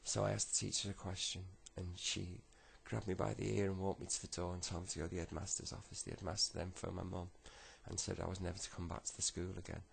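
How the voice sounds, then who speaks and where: A man voicing a cold, lost young boy in a quiet, hesitant, plain way. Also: deep voice, flat delivery, fast, gravelly, hardly any pauses, low-quality recording. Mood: subdued.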